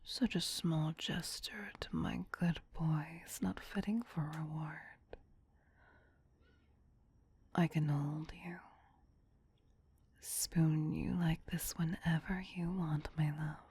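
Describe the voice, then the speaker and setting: A woman playing a doting, possessive vampire queen in an ASMR roleplay, whispering softly and soothingly in an intimate, coaxing manner. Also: low-pitched, fairly even intonation, slow, exaggerated voice. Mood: tender, affectionate. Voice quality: breathy, soft whisper.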